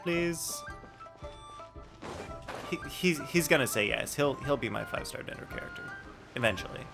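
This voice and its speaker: A man's voice: a little high, huge pitch swings, normal speed, gravelly, music in the background. A man doing casual live commentary as he streams a video game, playful, joking and mock-argumentative.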